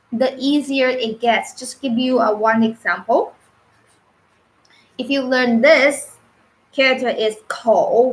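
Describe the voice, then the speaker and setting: Slow, high-voiced Mandarin-native woman teacher. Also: sharp tone, rough recording. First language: Mandarin Chinese. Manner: unscripted, halting with fillers and restarts. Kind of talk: live-stream lesson.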